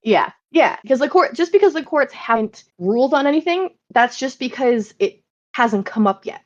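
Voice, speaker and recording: American woman podcaster explaining stuff casually, kinda sweary n animated, slightly dull audio. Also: sits high, moderate pace. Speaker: podcast host.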